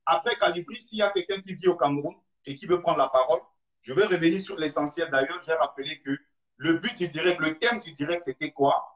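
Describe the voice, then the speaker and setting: Male host, slightly high voice, measured, invites viewer input; roomy, muffled sound. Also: fairly bright tone. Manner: measured, addresses viewers directly. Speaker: live stream host. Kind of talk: hosting a live discussion. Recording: roomy, dull live stream audio.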